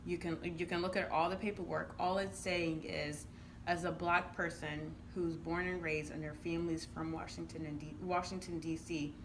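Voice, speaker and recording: Low-voiced woman from Uganda, noisy recording. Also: little pitch movement, moderate pace. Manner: earnest, explanatory, often ending points with "right?". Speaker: interviewed entrepreneur and youth programme coach. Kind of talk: conversational interview answers. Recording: clearly noisy room ambience.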